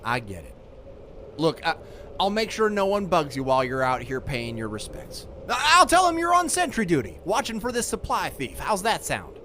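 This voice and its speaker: An actor playing a kindly, rambling survivor in an audio drama, stammering and repeating himself in a folksy way. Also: male voice, fairly high pitch, huge pitch swings, normal speed, bright, forceful tone, slight background noise, exaggerated voice. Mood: consoling, earnest. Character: gruff but kindly rambling male crash survivor.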